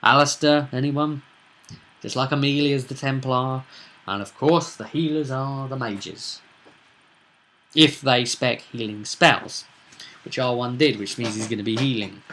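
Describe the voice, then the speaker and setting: Bright-voiced man rambling about gaming. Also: mid-range voice. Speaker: tabletop game master, video presenter. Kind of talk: casual rambling commentary.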